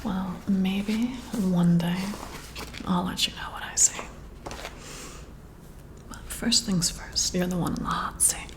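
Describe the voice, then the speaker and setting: A woman's voice: lowish voice, steady tone. Woman playing a whispering, flirty female boss. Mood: flirty, intrigued. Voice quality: breathy whisper. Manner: slow, flirty, admiring, softly hesitant. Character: flirty, intrigued female boss giving a personality test. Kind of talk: ASMR whispered roleplay. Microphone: close-up ASMR mic.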